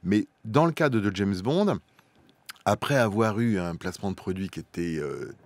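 Deep-voiced middle-aged man, radio guest. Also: expressive, no echo. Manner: measured, hesitant with many fillers, repeats for emphasis. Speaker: guest, product placement professional. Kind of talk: radio studio interview. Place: radio studio. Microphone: studio mic close up.

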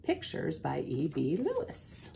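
A woman in her forties reading a children's picture book aloud in an expressive, deliberate way, pausing to put questions to listeners. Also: sits low, gravelly, noisy background. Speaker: school principal, guest storybook reader. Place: small office, indoors.

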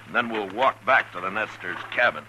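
An actor as a deep-voiced, terse Old West marshal. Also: male voice, animated, fairly quick, noisy background, close to an everyday voice. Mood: steady, businesslike. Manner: terse, authoritative. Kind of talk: 1950s radio western acting and first-person narration. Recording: vintage 1950s radio recording with music.

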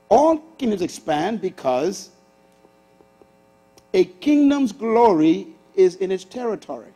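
A Bahamian man in his sixties preaching a sermon on a church stage, swinging from loud bursts to hushed asides. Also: sits high, slightly rough. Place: large church auditorium stage with audience.

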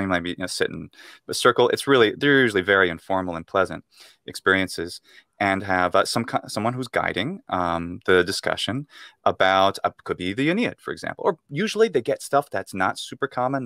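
A man's voice: on the low side, fairly bright tone, no echo, clean studio sound. Thoughtful American man on a video call. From United States. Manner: thoughtful, explains at length with fillers and restarts. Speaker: guest, Latin speaker and teacher. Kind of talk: casual interview over video call. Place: room at home. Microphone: earphone mic on video call.

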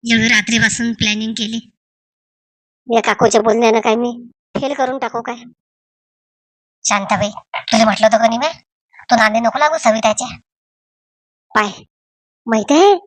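A woman's voice: a little high, moderate pace, pauses often, close to an everyday voice. A woman voicing gossipy, scheming village women in a Marathi comedy cartoon, with a Varhadi accent from Vidarbha and a colloquial, dramatic way of talking.

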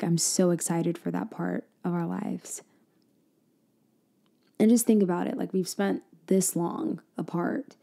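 A woman doing a girlfriend roleplay for ASMR, speaking softly and intimately, slipping in and out of a whisper and often trailing off mid-thought. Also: creaky. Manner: soft, intimate, drifting between low voice and whisper; hesitant, trailing off and restarting.

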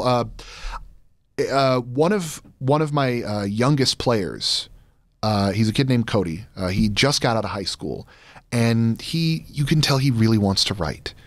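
Male podcast guest, thirties, conversational, medium-pitched, recorded dry in a studio. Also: dry recording. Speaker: podcast guest, voice actor and game master. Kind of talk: casual interview chat. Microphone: close studio mic on boom arm, headset monitoring.

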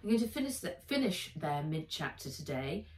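A middle-aged woman reading a children's story aloud as its author, with expressive, emphatic dramatic narration. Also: low voice, moderate pace, a little hiss or hum. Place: sitting room by a window, indoors. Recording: roomy, slightly distant home recording.

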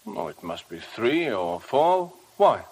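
An actor in a 1950s radio drama playing a loyal, steady male friend. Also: medium pitch, slow, husky, full character voice. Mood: concerned, puzzled. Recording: slightly noisy old radio broadcast.

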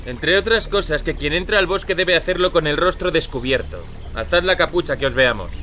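An actor playing a teasing outlaw storyteller in an audio drama, wry and theatrical. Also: male voice, a little high, brisk, pushed and bright, slight background noise. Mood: playful, challenging.

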